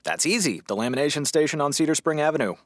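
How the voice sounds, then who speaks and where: An actor playing a weary, sarcastic small-town radio host with a halting, dry delivery in a comedy audio drama. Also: male voice, on the high side, lively intonation, no room sound, close to an everyday voice. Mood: awkward, flustered. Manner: halting, dry, sardonic, awkward.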